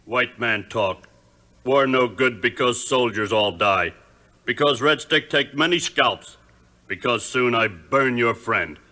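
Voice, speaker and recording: A man playing a belligerent war chief in a 1950s audio adventure, speaking in terse, halting, stock broken English. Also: medium pitch, pushed and bright. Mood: scornful, threatening. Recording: 1950s record with music behind.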